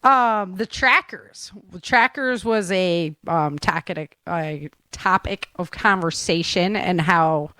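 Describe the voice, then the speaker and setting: A woman's voice: lowish voice, slow, hardly any pauses, bright, forceful tone, no room sound. American lady doing a podcast, super informal and chatty, dry close sound. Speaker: podcast host. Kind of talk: casual upbeat podcast chat.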